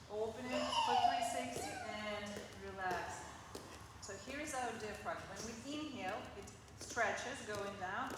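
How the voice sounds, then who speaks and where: A woman from Ukraine with a Ukrainian accent, leading a yoga class in a playful, coaxing way and counting out the breaths. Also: medium pitch. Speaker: yoga instructor. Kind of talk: guided yoga class instruction. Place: large gym hall. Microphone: room mic far from speaker. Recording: echoey hall from far off, background noise.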